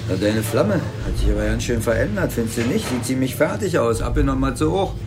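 An actor playing a gossipy, teasing small-town police officer in a naturalistic radio drama, speaking colloquially. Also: male voice, mid-range voice, lively intonation, brisk, over music, natural voice.

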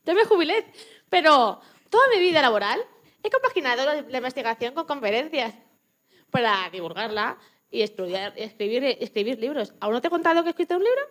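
A woman from playing a lively, joking retired Antarctic scientist in her eighties in a radio interview, often restarting her sentences. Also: high voice. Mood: chatty, playful. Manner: chatty, joking, halting with restarts. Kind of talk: scripted mock radio interview.

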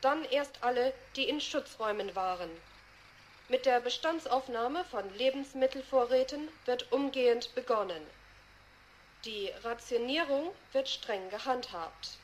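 High-voiced stern emergency announcer. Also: female voice, a little slow, poor audio. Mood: stern, official. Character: stern female BBC announcer giving emergency instructions. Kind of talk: 1980s German radio drama, formal announcement. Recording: faint background hiss.

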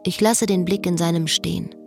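Pensive, wry female storyteller, lowish voice. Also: fairly even intonation, normal speed, creaky, a little mellow, faint music behind. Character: melancholy, wry woman narrating her own story. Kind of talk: literary radio play, inner monologue and dialogue.

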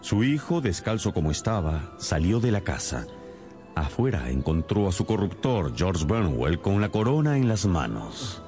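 Deep-voiced, animated male detective. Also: soft background music, heavily acted. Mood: assured, explanatory. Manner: theatrical, deliberate, explanatory. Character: shrewd, self-assured male detective explaining his deductions. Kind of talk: radio drama acting.

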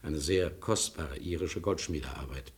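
An actor in a 1950s German radio drama playing a cultured, talkative émigré lawyer, polite and theatrical, with weighty pauses. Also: male voice, extremely low pitch. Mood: wistful.

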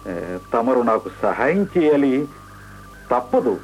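Radio play poet: ingratiating, courteous, animated intonation, faint noise behind. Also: male voice, medium pitch, measured pace, low-quality recording. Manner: polite, ingratiating.

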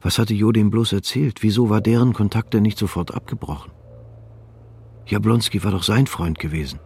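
A man narrating a literary radio drama in a calm, measured, subdued reading. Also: lowish voice, gravelly, husky.

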